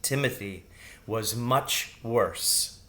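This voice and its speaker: A man's voice: medium pitch, very slow, sharp tone. A man reading a children's novel aloud as scripted storybook narration. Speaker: audiobook reader.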